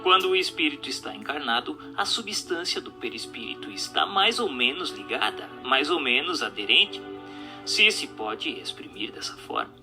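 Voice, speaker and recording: A man's voice: mid-range voice, pushed and bright. A man narrating a scripted audio drama in a formal, declamatory manner. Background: music.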